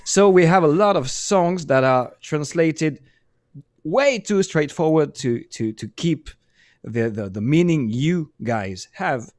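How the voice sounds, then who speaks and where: French guy talking slow, stops a lot hunting for english words, dry close sound. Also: on the high side, lively intonation, darkish tone, no room sound. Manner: halting, many restarts and fillers, searching for English words. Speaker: musician guest. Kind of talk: casual interview chat.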